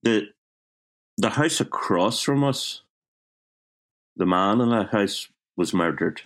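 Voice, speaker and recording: A man's voice: on the low side, expressive, slow pace, fairly bright tone. A man in his fifties with a Belfast accent, a writer telling wry, self-mocking stories from his childhood in a casual interview, often restarting his sentences. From Northern Ireland. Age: 50s.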